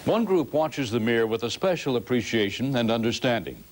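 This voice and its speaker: A man anchoring a news broadcast, narrating reports and interviewing a guest in a measured, polished delivery. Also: mid-range voice, animated, rough recording.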